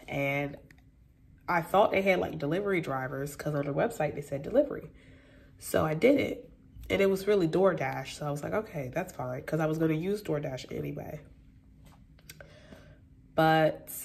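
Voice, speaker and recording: Late-20s woman, low gravelly voice, stuffy, easygoing rambling vlog chat. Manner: relaxed, rambling, lots of fillers. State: stuffy, congested. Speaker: vlogger. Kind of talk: casual vlog chat to camera. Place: hotel room by a window.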